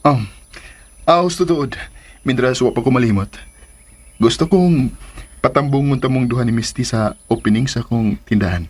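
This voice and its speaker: A man's voice: medium pitch, normal speed, pauses often, natural voice. A man acting a coaxing, lovestruck Cebuano suitor. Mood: hesitant, casual. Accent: Cebuano Filipino. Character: earnest, lovestruck male suitor, coaxing and teasing. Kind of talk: Philippine radio drama acting. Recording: radio drama with clear background noise.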